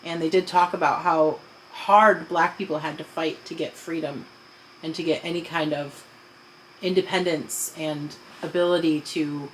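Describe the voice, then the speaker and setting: A woman's voice: deep voice, a little hiss or hum, low-quality recording. An adult woman talking casually with a child, patiently explaining and asking questions. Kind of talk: casual conversational discussion with a child.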